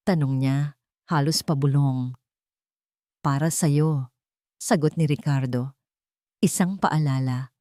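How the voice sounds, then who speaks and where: A woman narrating a romantic drama story in a steady, even storytelling delivery. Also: deep voice, no room sound, clean studio sound.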